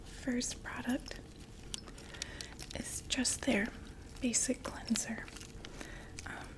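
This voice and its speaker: A woman playing a polite vampire makeup saleswoman in an ASMR roleplay, whispering softly with gentle, attentive, explanatory patter. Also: fairly high pitch, fairly even intonation, very slow. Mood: helpful, soothing. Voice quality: soft breathy whisper.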